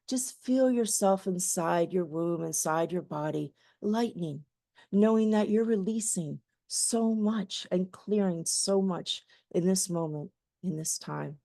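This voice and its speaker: A woman leading a guided meditation in a soothing, gentle voice with lulling phrases. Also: mid-range voice, creaky, no echo, studio quality.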